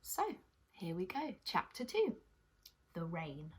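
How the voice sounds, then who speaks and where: A woman's voice: on the low side, big pitch swings, slow, slightly rough. A woman hosting a children's puppet show and telling stories, speaking encouragingly and directly to young listeners. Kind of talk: children's presenting and storytelling.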